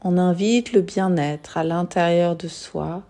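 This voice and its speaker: A woman guiding a yoga nidra meditation in a soothing, unhurried manner, leaving long pauses. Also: on the low side, warm tone, faint music behind. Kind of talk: guided meditation.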